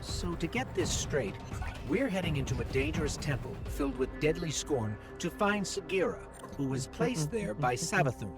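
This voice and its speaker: An actor playing a wary, dry-witted mission companion in a video game, speaking in a pointed, skeptical way. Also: male voice, fairly high pitch, animated, moderate pace, over music. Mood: suspicious. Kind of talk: video game dialogue acting.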